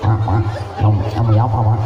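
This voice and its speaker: A man's voice: lowish voice, little pitch movement, fast, highs cut off, heavy background noise, voice pushed down, lightly acted. A male preacher voicing a middle-aged man with a nasal, stumbling voice who keeps asking the time, heard over an echoey distant PA. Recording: echoey outdoor PA, distant, crowd.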